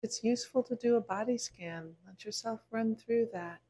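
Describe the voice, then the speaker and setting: A woman meditation teacher leading a guided body scan in a gentle, unhurried way, pausing between short phrases. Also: fairly high pitch, slightly rough.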